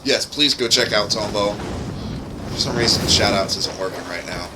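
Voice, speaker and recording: A man's voice: on the low side, normal speed, very noisy. A man giving casual, rambling livestream gaming commentary, with loose, informal and sweary speech. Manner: profane, informal, rambling asides. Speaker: game streamer and modder. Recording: music under the voice. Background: music.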